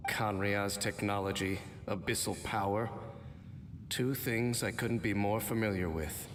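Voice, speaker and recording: A man voicing a stoic, world-weary, mysterious warrior in a video game, speaking in a grave, measured, formal way. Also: fairly low pitch, fairly even intonation. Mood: dismissive. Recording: long reverb, loud ambient noise.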